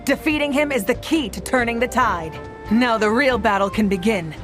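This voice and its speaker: A woman's voice: on the high side, normal speed, close to an everyday voice. A woman playing a rousing battle leader and mentor in a video game story, commanding and dramatic. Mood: rousing, resolute. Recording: game music behind.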